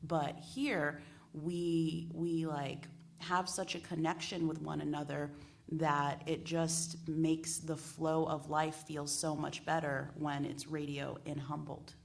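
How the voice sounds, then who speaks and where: Casting: woman, panel speaker, low voice with vocal fry, earnest casual phrasing. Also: little pitch movement, slow pace, a little hiss or hum. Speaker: radio panelist. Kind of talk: casual panel discussion talk.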